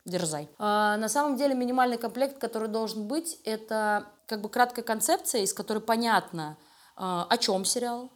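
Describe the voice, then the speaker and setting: A woman speaking as a studio creative director being interviewed, explaining things at length in an informal, expressive way. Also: a little high, normal speed. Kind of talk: casual interview talk.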